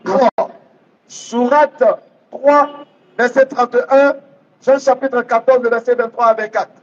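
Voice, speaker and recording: Guy preaching islam, really high voice, teaching hard and repeating lines, muffled lo-fi audio. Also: level tone, measured pace. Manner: emphatic, didactic, repeats readings phrase by phrase. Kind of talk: public religious debate preaching. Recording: dull, lo-fi sound.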